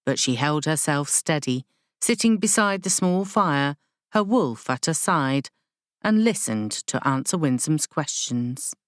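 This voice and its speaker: Slow, low-voiced woman narrating fiction. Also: animated, no room sound, clean studio sound. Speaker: audiobook narrator. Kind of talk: scripted literary fiction narration.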